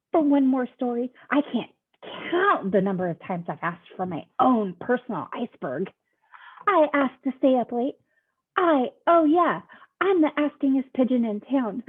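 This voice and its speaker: A woman voicing an excitable male storybook pigeon who keeps begging for things, with dramatic, drawn-out whines. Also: fairly high pitch, husky. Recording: tinny phone-like sound.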